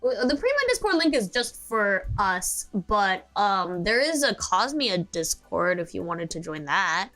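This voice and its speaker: Sleepy young american girl streamer rambling w lots of ums, bright voice, faint music behind. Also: mid-range voice, very animated, a little slow, few pauses. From United States. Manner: rambling, many fillers and restarts, giggly. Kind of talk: casual chat stream.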